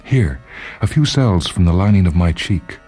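A man voicing a lonely, yearning woman in a story reading, with pleading, incantatory, drawn-out phrases. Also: sits low, expressive, warm tone, soft background music, voice pushed up. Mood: excited.

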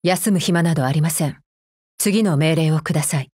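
A woman's voice: low-pitched, moderate pace, darkish tone, husky, no echo, clean studio sound. A woman playing a cold, efficiency-minded female combat android in a mobile game, speaking formally in a clipped, stern, unemotional way. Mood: matter-of-fact, stern. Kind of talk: scripted mobile game character voice lines.

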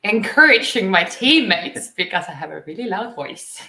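A young woman speaks English as an in a casual, giggly, slightly halting way. Her pitch is average, and the room echoes around her on a video call. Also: very animated, sharp tone. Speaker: cricket player and coach. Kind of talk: casual interview chat. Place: small indoor room, seated on a couch. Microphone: shared video call microphone, at some distance. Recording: roomy echo on a video call.

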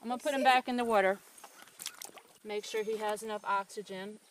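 A woman's voice: mid-range voice, steady tone, a little fast, slight background noise. A middle-aged woman patiently explaining nature to young children outdoors by a farm pond, caught on a home video camera. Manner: patient, encouraging, teacherly. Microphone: handheld home video camera nearby.

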